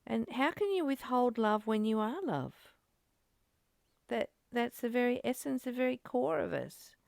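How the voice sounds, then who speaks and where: A woman's voice: a little high, big pitch swings, measured pace, creaky, a little mellow, dry recording, studio quality. A woman speaking earnestly in a reflective spiritual discussion, often repeating phrases as she goes. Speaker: study group host reading and commenting. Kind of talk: reflective spiritual discussion, partly read aloud.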